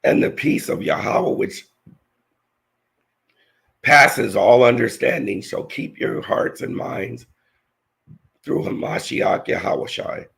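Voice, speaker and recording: Hoarse, gravelly man reading scripture. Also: medium pitch, fairly bright tone. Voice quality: raspy, gravelly. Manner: slow, earnest scripture reading with halting restarts. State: hoarse, voice nearly lost. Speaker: Bible teacher.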